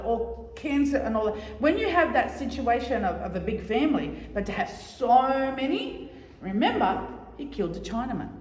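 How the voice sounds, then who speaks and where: A middle-aged woman giving a loud, chatty, joking talk to a society audience, repeating her key points. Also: fairly high pitch. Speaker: guest speaker on oral history. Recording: echoey hall.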